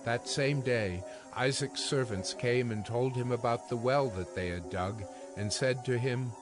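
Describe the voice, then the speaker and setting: A man's voice: mid-range voice, unhurried, over music. A man narrating dramatized scripture as a scripted storytelling reading.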